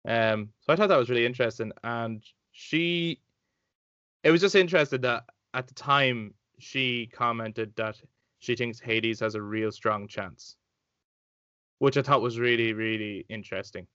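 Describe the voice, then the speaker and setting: A man's voice: medium pitch, a little slow, fairly bright tone, clear, resonant tone, no room sound, lo-fi sound. A man co-hosting a casual gaming podcast, rambling, with lots of fillers, and laughing easily. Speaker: podcast co-host. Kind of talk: casual chat.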